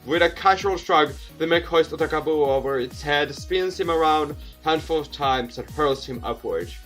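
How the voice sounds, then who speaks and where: High-pitched young man narrating a game. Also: moderate pace, a bit bright. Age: young adult. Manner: stumbles and repeats words while reading. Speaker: gaming video host. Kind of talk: reading visual novel narration aloud with asides. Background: music.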